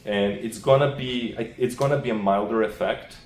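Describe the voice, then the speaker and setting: A man with a very low voice answers questions after a research talk. He explains off the cuff, haltingly, working out his reasoning as he goes. The room adds clear echo and a faint layer of noise. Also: a little mellow.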